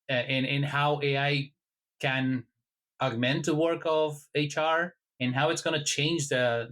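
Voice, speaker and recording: A man from Argentina, a guest in an interview, answering in a measured, thoughtful way with some halting restarts. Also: medium pitch, a bit bright, low-quality recording. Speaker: guest, HR technology consultant.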